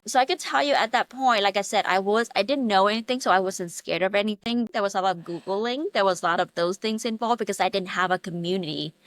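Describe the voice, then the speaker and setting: A woman's voice: mid-range voice, fairly bright tone, no echo. A woman from Vietnam, in her thirties, earnestly telling her real estate story as a podcast guest. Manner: earnest, repetitive phrasing, upbeat. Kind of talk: casual interview storytelling.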